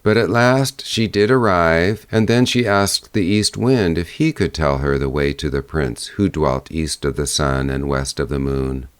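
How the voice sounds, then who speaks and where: A man's voice: rumbling low, measured pace, hardly any pauses, clear, resonant tone. A man reading a fairy tale aloud as scripted audiobook narration. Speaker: audiobook reader.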